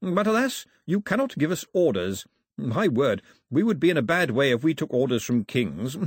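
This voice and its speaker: Urbane, courtly royal official, acted by a male reader, assured, husky, with lively melodic swings. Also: fairly high pitch, moderate pace, no echo, clean studio sound, pitched above the performer's own voice. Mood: playful pomp. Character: smooth, formal, self-assured male crown official. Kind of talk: audiobook character voice.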